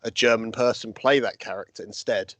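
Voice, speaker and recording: A man's voice: fairly low pitch, steady tone, a little fast, a bit bright, dry recording. A man co-hosting a live stream, chatting in a wry, rambling way. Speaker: co-host. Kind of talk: casual live-stream chat.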